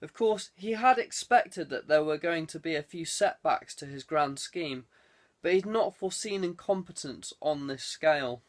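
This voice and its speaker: A man's voice: a little high, lively intonation, normal speed. A man reading a scripted work of fiction as an audiobook narrator.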